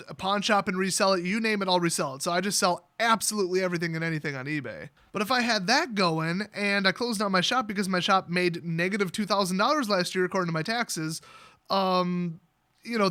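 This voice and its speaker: High-pitched, bright-voiced American man. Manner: sarcastic, animated, jokey exaggeration. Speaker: YouTuber telling a personal story. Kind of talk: casual rambling storytelling.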